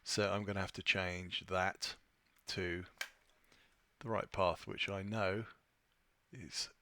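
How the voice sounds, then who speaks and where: A man presenting an informal software tutorial, thinking aloud in a somewhat hesitant way as he demonstrates. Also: sits low, fairly even intonation, slow pace, slight background noise. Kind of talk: informal screen-share walkthrough.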